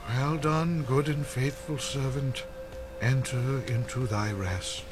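A male actor as a calm apostle leading his flock in a radio play. His medium-pitched voice is solemn and measured, like preaching, with music behind it. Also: close to an everyday voice. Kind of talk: dramatized radio play acting.

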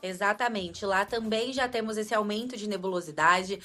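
A young woman from Espírito Santo gives a radio weather report in a mixed Espírito Santo and Minas Gerais accent. Her voice sits fairly high and rings bright and crisp. She explains things clearly and at a measured pace. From Espírito Santo, Brazil.

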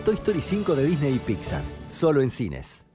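A man's voice: medium pitch, huge pitch swings, normal speed, loud music behind the voice. A man with an Argentine accent reading scripted promotional narration as an advert announcer.

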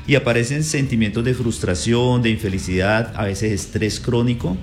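A man speaking as a psychologist guest on a morning radio show, explaining calmly and step by step. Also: mid-range voice, moderate pace, faint music behind. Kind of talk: calm explanatory radio interview.

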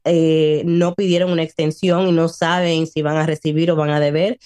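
A woman in her late thirties on a radio show, explaining taxes and chatting. She hesitates often, fills pauses and drops English words into her speech. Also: deep voice, a little mellow, no echo, studio quality. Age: 30s. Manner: hesitant, many fillers, mixes in English words. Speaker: tax service guest on radio. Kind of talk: informational radio chat. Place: radio studio.

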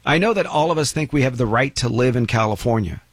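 A man's voice: mid-range voice, expressive, quick pace, breathy edge, dry recording. A man giving a blunt, opinionated monologue about money and retirement, lecturing with emphatic rises. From United States.

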